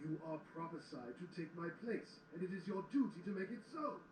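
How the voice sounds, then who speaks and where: A man playing a solemn, world-weary old stallion mentor in an audio drama, speaking in measured, formal phrases. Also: on the high side, expressive, close to an everyday voice. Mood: firm, weary. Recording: echoey, distant playback with background noise.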